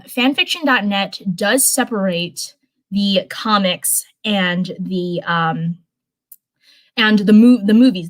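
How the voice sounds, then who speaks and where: A woman hosting a live stream in an informal, chatty way, talking to viewers and reading fan fiction aloud. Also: medium pitch. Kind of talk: casual livestream chat and live story reading.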